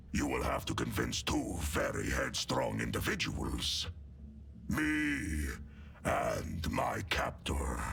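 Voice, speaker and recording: Gravelly, wildly swooping warrior brute. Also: male voice, a bit bright, a little hiss or hum, full character voice. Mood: sardonic. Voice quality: deep, gravelly growl. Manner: boastful, deliberate, menacing. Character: wild, proud, caged male space warrior, grimly mocking. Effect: modulated voice. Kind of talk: video game character acting.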